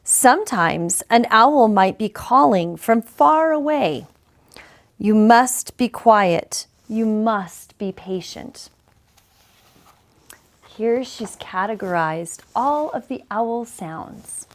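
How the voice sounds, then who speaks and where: A woman reading a children's picture book aloud in a deliberate, measured way with dramatic pauses. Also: a little high, lively intonation. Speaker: story reader, school superintendent.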